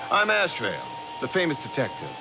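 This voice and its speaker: An actor playing a famous detective in a video game, theatrical and brooding yet awkwardly polite. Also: male voice, on the high side, huge pitch swings, moderate pace, poor audio. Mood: theatrically polite. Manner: theatrical, hesitant stammering when flustered, sighing. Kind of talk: dramatic game voice acting. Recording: music behind the voice.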